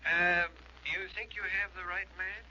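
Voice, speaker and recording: An actor playing a shrewd, suspicious, insinuating insurance investigator in 1940s radio style. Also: male voice, sits high, fairly even intonation, normal speed, sharp tone. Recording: dull old radio recording, faint noise.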